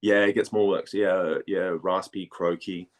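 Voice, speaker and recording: Guy from denmark w a low voice, casual interview chat, kinda hesitant, repeats himself. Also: level tone. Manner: hesitant, halting, repeats words while thinking. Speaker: voice actor, interview guest.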